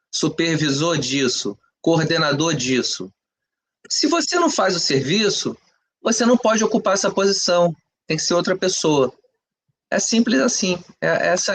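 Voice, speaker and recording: Opinionated Brazilian man, slightly high voice. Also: a bit bright. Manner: conversational, frequent "entendeu?" and "né?" tags; emphatic, rhetorical questions. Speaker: podcast co-host. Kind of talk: opinionated casual talk.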